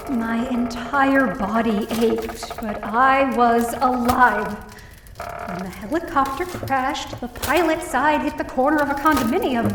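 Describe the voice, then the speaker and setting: A woman's voice: high-pitched, background noise. A woman playing an anxious survivor narrating her terrifying ordeal in first person, as dramatic, emotive horror audio drama. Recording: roomy, distant sound with music bed.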